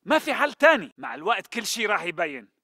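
Agitated syrian foot soldier, shooter game, really high piercing voice, talks fast. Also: male voice, big pitch swings, sharp tone, full character voice. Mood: tense. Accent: Syrian Arabic. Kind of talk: video game battle dialogue.

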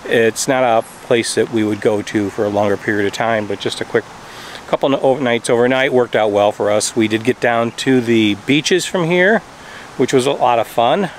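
A man's voice: medium pitch, moderate pace. A middle-aged man talks to a camera held at arm's length at an outdoor campground. He explains things in a plain, practical way. Faint outdoor noise sits under his voice. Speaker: travel vlogger. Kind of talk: casual travel vlog talk.

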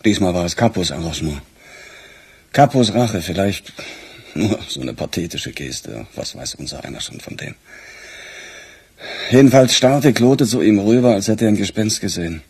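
An actor playing a weary, grumbling police chief with a husky voice in a radio crime drama. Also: male voice, sits low, lively intonation, moderate pace, long pauses, a little mellow, full character voice. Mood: weary, subdued.

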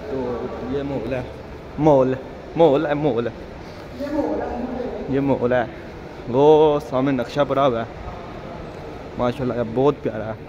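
A man's voice: mid-range voice, steady tone, measured pace, pauses often. A young man with a Pakistani Punjabi accent chatting casually as a vlogger, repeating phrases for emphasis, on a handheld phone. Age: young adult. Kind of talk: casual walk-around vlog chat. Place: on the move through a mall, terrace and outdoors. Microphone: handheld phone at arm's length. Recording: dull phone audio with loud background noise.

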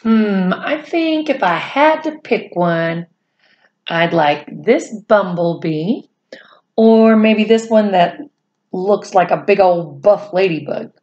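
A middle-aged woman reads a children's storybook aloud at a very slow pace. Her pitch swings in a lively, expressive way, and she breaks off for chatty asides to the kids. The sound is slightly dull, like a webcam in a room at home. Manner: expressive, drawn-out delivery addressed to young children. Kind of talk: animated picture-book read-aloud with chatty asides. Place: small indoor room at home.

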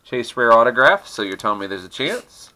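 A man's voice: mid-range voice, big pitch swings, fairly quick, sharp tone, rough recording. A middle-aged man chatting casually and teasing while opening trading card packs at his desk at home. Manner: chatty, teasing, laughs often. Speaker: host of a card-opening channel. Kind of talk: casual card-breaking commentary.

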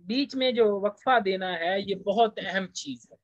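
A man giving an informal lecture as a teacher, explaining point by point and slowing down for English phrases. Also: high-pitched, no room sound. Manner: explanatory, slows down when reading English phrases. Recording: dull, lo-fi close recording.

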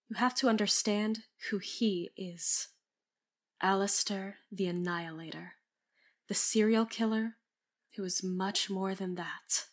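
An actress plays a female vampire who was once a federal agent, narrating a gothic audio drama. She confides in the listener with anguish and deliberation. Her delivery is very unhurried, at a middle pitch. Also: natural voice. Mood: confiding, ominous.

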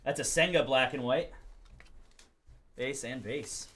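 A man's voice: mid-range voice, slow, background noise. A young man hosting a live card break, calling out pulls with upbeat energy and joking banter. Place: indoor card break studio.

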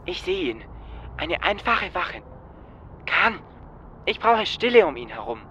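A man's voice: high voice, sing-song, measured pace, sharp tone, dull audio, full character voice. A man playing a curt, impatient, commanding heist crew leader in an audio drama. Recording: slight background noise.

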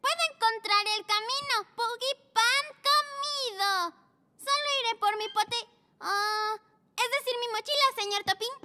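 A woman's voice: very high voice, measured pace, background noise. A woman voicing a cheerful, eager little girl pug puppy in a Latin American Spanish children's cartoon, with giggly, polite, childlike speech. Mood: determined. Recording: loud children's music behind.

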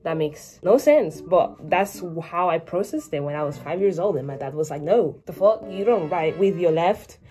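A woman's voice: on the low side, lively intonation, moderate pace, few pauses, dark tone, faint music behind. A teenage girl born in Spain, talking casually to camera from her small bedroom, with joking asides to herself. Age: teens. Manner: chatty, self-mocking asides. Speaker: vlogger. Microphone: phone or webcam at arm's length.

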